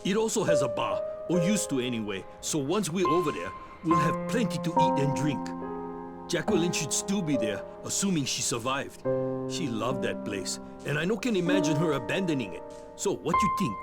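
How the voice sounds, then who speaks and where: An actor playing an urgent, practical survivor of a zombie apocalypse in a video game, earnest and emphatic. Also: male voice, fairly high pitch, big pitch swings, normal speed, over loud music. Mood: earnest, planning. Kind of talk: video game cutscene acting.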